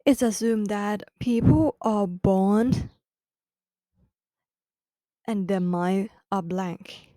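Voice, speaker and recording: Slow, careful Thai-accented woman. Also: medium pitch, mellow, no room sound. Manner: careful, halting second-language delivery with restarts. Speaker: podcast host. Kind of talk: educational podcast explanation.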